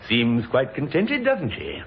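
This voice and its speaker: An actor playing a smooth, evasive scheming husband in an old radio drama, airy and self-assured. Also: male voice, mid-range voice, wildly swooping pitch, slow pace. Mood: glib, evasive. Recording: dull, hissy vintage radio recording.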